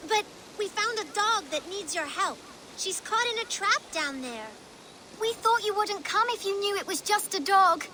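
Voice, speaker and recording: A woman's voice: very high-pitched, expressive, normal speed, background noise. A woman playing a bold, bossy, cheerful little Viking child in a video game, talking with insistent confidence.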